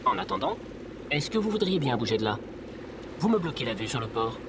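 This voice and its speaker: A woman's voice: rumbling low, a little fast, noisy background. A woman playing a stubborn, literal-minded droid in an audio drama. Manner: flat-worded, obstinate, literal. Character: glum, stubborn old droid fixed on its programme.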